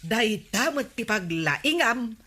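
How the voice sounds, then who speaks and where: A bright female voice as a village market shopper with little money. The delivery is unhurried and plaintive, acted for a comedy radio drama. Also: a little high, very animated, breathy edge, full character voice. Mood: plaintive, coaxing.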